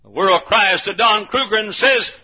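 American guy preaching hard, raspy and really high pitched, yelling in rhythm, muffled old sermon recording. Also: moderate pace. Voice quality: raspy, straining. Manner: impassioned, shouting, repetitive cadence, dramatic swings from low to loud. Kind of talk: fervent revival sermon.

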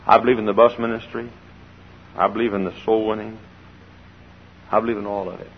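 An older man preaching a sermon from the pulpit with a froggy, gravelly voice, shifting between hollered exhortation and quiet storytelling and repeating phrases for emphasis. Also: lowish voice, fairly even intonation, fairly quick, a little hiss or hum. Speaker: pastor. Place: large church sanctuary. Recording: dull old recording in a reverberant church.

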